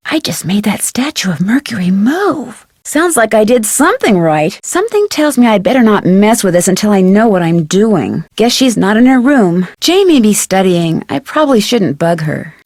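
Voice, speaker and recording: An actress playing a curious, plucky young amateur detective with an American accent, musing aloud to herself in an adventure game. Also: female voice, medium pitch, a little mellow, no echo, clean studio sound. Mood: thoughtful. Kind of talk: scripted adventure game voice acting, thinking aloud.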